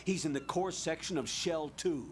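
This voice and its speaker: A man's voice: a little high, normal speed, a little hiss or hum, lightly acted. An actor playing a stern male military commander giving curt orders.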